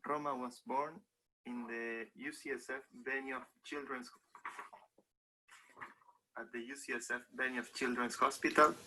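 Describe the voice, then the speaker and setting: A man's voice: medium pitch, steady tone, unhurried, pauses often, sharp tone, poor audio. A man in his thirties from Argentina, narrating a home video in a friendly, matter-of-fact way. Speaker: father presenting a video.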